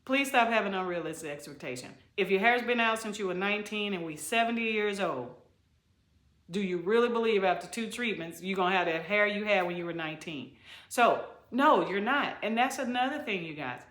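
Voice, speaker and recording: A woman's voice: mid-range voice, animated, a little fast, fairly bright tone. A middle-aged woman giving haircare advice straight to the camera, emphatic and preachy, repeating key phrases and calling her viewers y'all and you guys. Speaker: haircare specialist. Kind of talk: direct-to-camera advice talk. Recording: echoey room.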